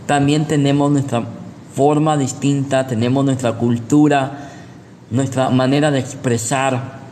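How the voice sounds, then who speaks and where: A man's voice: mid-range voice, fairly even intonation, measured pace, dark tone. Guatemalan male preacher in a reverberant hall. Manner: didactic, repeats points, checks in with rhetorical questions. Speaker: pastor, preacher. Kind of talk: sermon, teaching with personal anecdotes. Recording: echoey hall with long reverb, background noise.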